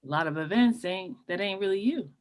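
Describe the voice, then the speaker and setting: A blunt young woman played by an actress in a casual screenplay read. She swings her pitch freely and presses her point in direct slang. She sounds like a protective friend who does not buy it. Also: lively intonation, brisk, close to an everyday voice. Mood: insistent concern.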